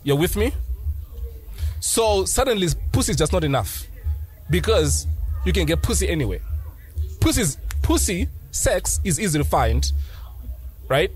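A young man co-hosting a podcast who argues his points hard, speaking casually on a boom mic at an outdoor night set. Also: big pitch swings, a little slow, long pauses, breathy edge, soft background music. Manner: argumentative, presses his points. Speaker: podcast co-host. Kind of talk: casual debate. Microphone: headphones and studio boom mic.

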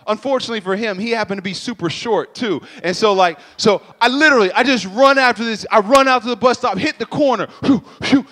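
A young man speaking on a stage with a handheld mic, telling an animated story, acting out sound effects and talking straight to the crowd. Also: extremely high pitch. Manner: animated, acts out sounds and voices, addresses crowd directly. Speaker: speaker at a church youth event. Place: stage in a purple-lit hall. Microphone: handheld microphone.

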